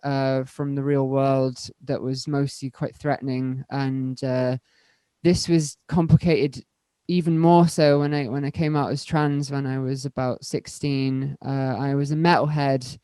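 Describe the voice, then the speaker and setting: Candid fast-stumbling young woman. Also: extremely low pitch, little pitch movement, warm tone, clear, resonant tone, no room sound. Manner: rapid, stumbling, repeating words, candid. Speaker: panel guest, electronic musician. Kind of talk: panel discussion.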